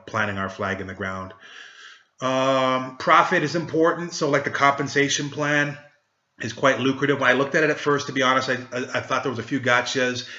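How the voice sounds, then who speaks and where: Upbeat chatty man, bright and roomy. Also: medium pitch, lo-fi sound. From Trinidad. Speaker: network marketing recruiter. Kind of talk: casual sales pitch to camera.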